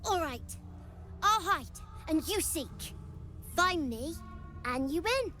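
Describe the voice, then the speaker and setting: A woman's voice: very high voice, animated, takes it slowly, sharp tone, faint music behind, full character voice. A woman voicing a bratty, demanding little boy in a video game, with a petulant, eerie childlike manner. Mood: stubborn, menacing. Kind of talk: video game character acting.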